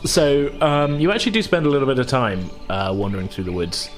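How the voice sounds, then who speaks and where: A man narrating a tabletop game as game master in a halting, hesitant way. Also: mid-range voice. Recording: music playing behind the voice. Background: music.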